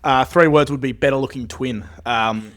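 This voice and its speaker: A man's voice: mid-range voice, moderate pace, a bit bright. A man on a podcast, bantering cheekily and joking at his own expense. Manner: cheeky, self-mocking. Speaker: podcast guest.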